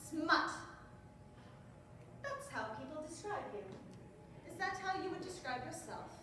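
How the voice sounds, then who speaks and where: A high-pitched, righteous female prosecutor played by a stage actress questions with prim disapproval and sarcasm. It is courtroom theater, picked up from far off in a very echoey space with noticeable noise. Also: sing-song, measured pace, sharp tone, heavily acted. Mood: scornful, accusing. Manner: pointed, disapproving, sarcastic cross-examining. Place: live stage performance in a roomy venue. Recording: echoey hall, distant mic, noisy room.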